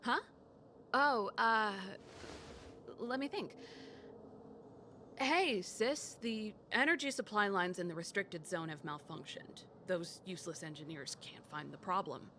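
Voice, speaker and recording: An actress playing an easygoing, loyal rock musician in a video game, speaking casually and expressively. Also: female voice, mid-range voice, measured pace, bright, forceful tone, a little hiss or hum. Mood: flustered, evasive. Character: bold, easygoing adult rock-musician woman, loyal old friend.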